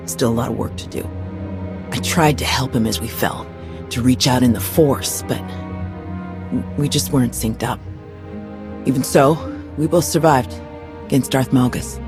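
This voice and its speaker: A man's voice: medium pitch, lightly acted. Man playing sincere, plainspoken Jedi comrade. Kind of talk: video game cutscene acting.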